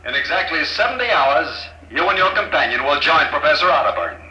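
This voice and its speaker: Very sharp, coldly polite villain. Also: male voice, fairly high pitch, very animated, full character voice. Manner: unhurried, formal, smug. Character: calm, coldly polite male conspirator villain. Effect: intercom. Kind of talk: 1950s radio drama acting. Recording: echoing intercom in vintage radio drama.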